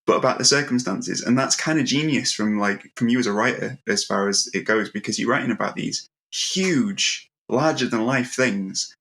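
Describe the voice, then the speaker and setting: A man hosting a casual interview, haltingly working his way through long questions with repeated words. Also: mid-range voice, creaky, fairly bright tone. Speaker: interviewer, host.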